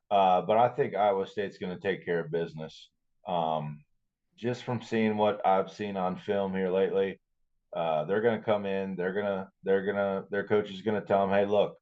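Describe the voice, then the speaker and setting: A man with a fairly low voice chats casually about football as a podcast analyst. His audio is a little dull and muffled. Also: a little mellow. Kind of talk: casual sports chat.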